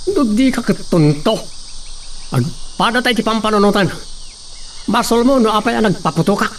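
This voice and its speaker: A menacing henchman, acted by a man in a radio drama, with a high voice full of creak. He taunts and threatens with a hot temper. The sound is distant, with some background noise. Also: normal speed. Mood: defiant. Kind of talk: Ilocano radio drama acting.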